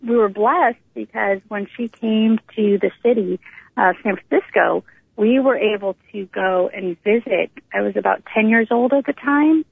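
A middle-aged American woman shares her personal story as an interview guest. Her voice has a gravelly fry and a medium pitch. She talks informally and conversationally, heard through a narrow, tinny phone line. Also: moderate pace, no room sound. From Northern California, United States.

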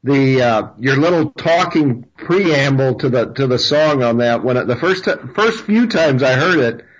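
A man hosting a radio interview, speaking haltingly with many fillers and repeated words. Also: medium pitch, animated. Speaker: radio host. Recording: dull lo-fi radio sound.

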